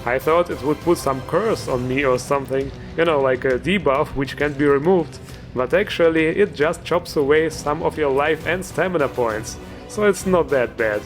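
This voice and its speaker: A man's voice: on the high side, normal speed, faint music behind. A man hosting a video game mod show, giving informal, enthusiastic commentary with a joking streak. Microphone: voice-over recorded over gameplay music.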